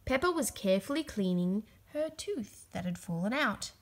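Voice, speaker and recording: A woman reading a children's book aloud as an expressive, dramatic storyteller. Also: medium pitch, moderate pace.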